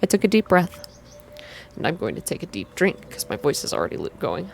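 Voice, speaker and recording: A woman reading a story aloud in an ASMR style, with expressive, deliberate first-person narration. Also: fairly low pitch, vocal fry, slight background noise. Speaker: reader of a visual novel. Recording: soft music behind the voice. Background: background music.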